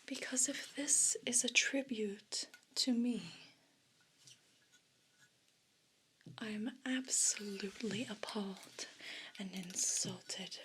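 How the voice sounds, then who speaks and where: A woman voicing a haughty, offended evil pet cat in an ASMR role play, speaking in a breathy whisper with a pompous, formal, deliberate manner. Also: medium pitch, fairly bright tone, a little hiss or hum.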